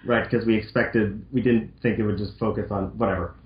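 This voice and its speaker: A man's voice: fairly low pitch, steady tone, a little fast. A man chatting casually as a co-reader, muttering asides and swearing now and then. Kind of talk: casual chat. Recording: roomy, dull lo-fi mic.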